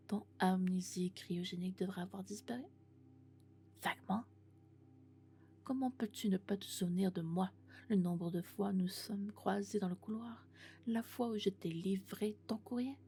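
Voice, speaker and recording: A woman playing a lovesick, possessive female robot in an ASMR roleplay, speaking in a hushed, breathy, intimate whisper. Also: medium pitch, steady tone, a little slow, a little hiss or hum, close to an everyday voice. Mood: hurt, pleading.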